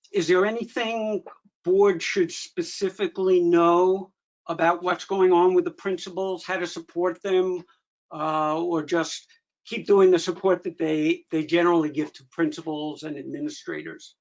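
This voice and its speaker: A man's voice: sits high, steady tone, measured pace. A man moderating an online meeting, conversationally putting questions to a guest. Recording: dull, lo-fi video call audio.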